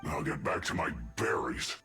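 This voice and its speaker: A man's voice: a bass voice, pushed and bright. A man playing a hapless, indignant enemy soldier in a video game, theatrical and protesting. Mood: bitter, resigned. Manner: theatrical, protesting, halting when cornered. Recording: game music behind.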